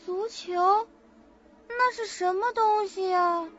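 A woman's voice: extremely high pitch, steady tone, slow pace, a bit bright, lo-fi sound, heavily acted. A woman playing a frightened, confused young woman with memory loss, timid and childlike in a suspense radio drama.

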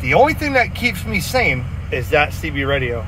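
A high-pitched man talks in a casual, slangy and animated way. He is inside a vehicle, with faint road noise under his voice. His manner is folksy and chatty. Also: moderate pace. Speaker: vlogger chatting on CB radio. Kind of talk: casual chat.

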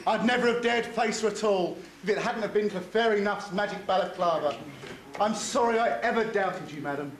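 A man's voice: high voice, fairly quick, natural voice. A man playing a bluff, emotional woodcutter father in an amateur stage pantomime. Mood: blustering. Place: village hall stage. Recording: echoey hall with audience noise.

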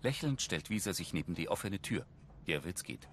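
A man's voice: low voice, sing-song, normal speed, noisy background. A man reading scripted audio description narration for a TV film.

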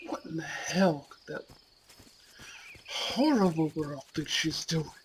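A woman acts out a possessive young school prince in an amateur ASMR roleplay. The delivery is slow, formal and imperious, with haughty disdain. Faint nature ambience runs behind the voice. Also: low voice, animated, slight background noise. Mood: irritated.

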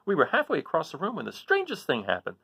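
A man's voice: a little high, wildly swooping pitch, fast talker, creaky, pushed and bright, husky, heavily acted. A man voicing a folksy little dog who narrates a scary adventure in rural American dialect, as in a children's read-aloud. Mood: breathless, scared.

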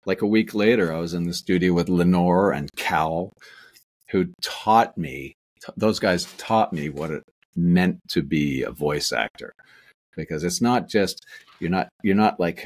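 A middle-aged man, an actor, telling rambling stories over a video call in a small room at home. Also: low-pitched. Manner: rambling storyteller, many restarts and asides. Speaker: actor and guest. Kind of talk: casual interview chat. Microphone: webcam on video call.

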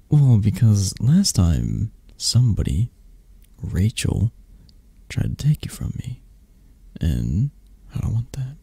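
A man playing a possessive, tender, flirtatious mafia boss in an intimate, soft-spoken ASMR roleplay, murmuring and coaxing with hesitant phrasing. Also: lowish voice, huge pitch swings, slow, vocal fry, slightly rough, dry recording, full character voice.

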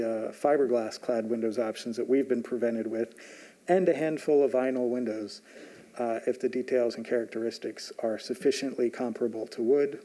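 A man on a zoning staff presenting a report at a public hearing, measured, with frequent "uh" fillers. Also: mid-range voice, warm tone. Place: large municipal meeting room.

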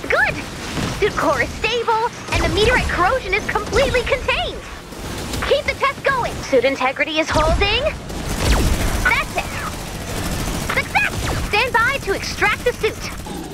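Very high-pitched, peppy geeky engineer. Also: female voice, full character voice. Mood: excited. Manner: enthusiastic, quippy, teasing. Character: peppy, geeky female engineer guiding a mission. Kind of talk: animated video game mission dialogue. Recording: game music behind the voice.

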